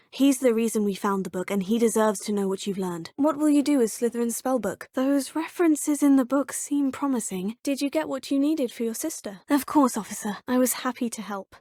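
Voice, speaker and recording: A woman's voice: a little high, warm tone, no echo, studio quality, close to an everyday voice. Woman voicing an earnest witch student. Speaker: player character student. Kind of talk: video game dialogue.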